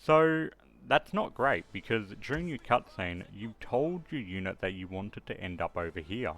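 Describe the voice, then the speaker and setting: A man narrating a casual software tutorial, explaining each step informally as he goes. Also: lowish voice, lively intonation, normal speed. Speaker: tutorial presenter.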